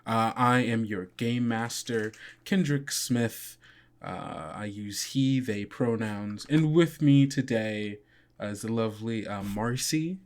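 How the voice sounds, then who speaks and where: A game master and podcast host with a medium-pitched voice. The talk is casual and informal, full of joking asides between bits of narration. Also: takes it slowly.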